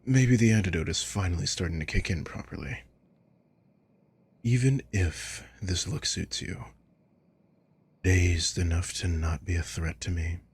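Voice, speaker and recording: A man playing a sadistic villain in an ASMR roleplay, coolly menacing and mockingly caring, speaking in a breathy, deliberate, taunting way. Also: sits low, a little mellow, heavily acted. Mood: exasperated, focused. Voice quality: breathy, husky. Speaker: audio roleplay voice actor.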